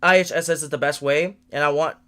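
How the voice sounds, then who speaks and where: Halting nineteen-year-old man ranting. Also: a little high, steady tone, pushed and bright, smooth. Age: late teens. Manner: halting, with frequent restarts and repeated words; frustrated, venting. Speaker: livestreamer. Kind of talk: rambling livestream update. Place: room in his family home.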